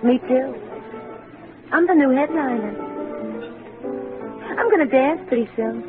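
A woman's voice: high-pitched, a little slow. An actress playing a brassy, flirty yet guarded showgirl, slangy and coy, in 1940s radio drama style. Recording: muffled phone-like vintage radio recording, music behind.

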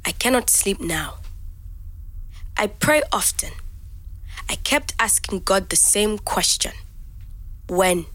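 A woman playing a reflective young migrant, speaking measured, introspective fragments as a scripted audio diary. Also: mid-range voice, animated, fairly bright tone. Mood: pensive, weary. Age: young adult. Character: reflective young African migrant woman recording private night-time notes.

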